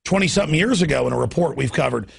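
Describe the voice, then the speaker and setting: A man hosting an opinionated political radio talk show, with a gravelly, raspy voice and an emphatic, ranting manner. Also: a little high, expressive, racing. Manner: emphatic, ranting, piles up examples.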